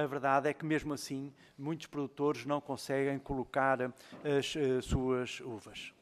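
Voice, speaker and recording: A man speaking as a member of parliament, giving a formal speech full of filled pauses and restarts. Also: medium pitch. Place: parliamentary committee room. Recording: long hall reverb.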